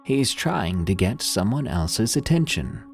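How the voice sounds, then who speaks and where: Guy doing a wise old priest, low voice, calm and slow-spoken. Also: gravelly, soft background music, exaggerated voice. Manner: calm, measured. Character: calm, wise older male spiritual priest.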